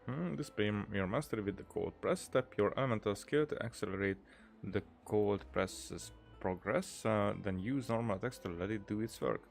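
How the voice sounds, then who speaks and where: Male gameplay host, deeper voice with vocal fry, casual, halting read-alouds and playful asides. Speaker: gaming video host. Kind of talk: casual gameplay commentary, reading prompts aloud. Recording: game music behind the voice.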